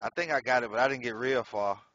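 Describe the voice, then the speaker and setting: A man's voice: medium pitch, quick pace, fairly bright tone, no room sound, dull audio. A man casually commenting on his gameplay in a slangy, profane way, laughing often. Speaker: gameplay streamer.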